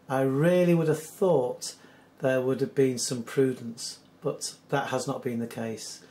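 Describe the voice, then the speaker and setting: Hoarse British man hosting commentary. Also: mid-range voice, darkish tone. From United Kingdom. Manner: earnest, skeptical, measured. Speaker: channel host. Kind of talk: solo commentary reading news articles.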